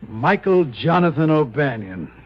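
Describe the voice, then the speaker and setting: A flustered insurance office manager. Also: male voice, on the high side, sing-song, unhurried. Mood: confiding. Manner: flustered, stammering when pressed. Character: harried male insurance office manager, fretful. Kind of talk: 1950s radio drama acting. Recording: dull vintage radio broadcast.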